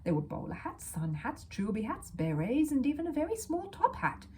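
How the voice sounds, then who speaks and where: Woman, children's audiobook narration, very lively intonation, soft dark tone, faint background noise. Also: high voice, moderate pace. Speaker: storyteller.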